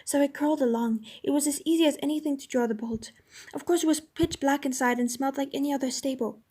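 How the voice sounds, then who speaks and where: A woman's voice: sits high, darkish tone, natural voice. A woman voicing a brave young girl who talks eagerly and pleads earnestly.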